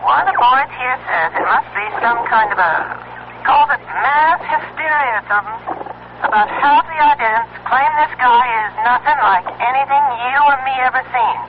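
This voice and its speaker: High-pitched police dispatcher over radio. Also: male voice, moderate pace. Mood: matter-of-fact. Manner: folksy, colloquial. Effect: two-way radio filter. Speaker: actor. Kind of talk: radio drama acting. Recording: tinny, noisy two-way radio sound.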